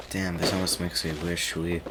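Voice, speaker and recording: A man performing a romantic audio roleplay, teasing and laid-back, laughing often as he talks to a friend. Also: low voice. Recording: constant fireworks ambience noise. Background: fireworks and outdoor ambience.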